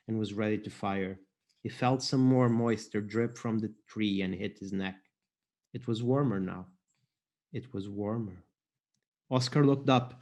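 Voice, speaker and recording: A man's voice: medium pitch, darkish tone. A man from Portugal, an author introducing and reading his own fantasy fiction aloud.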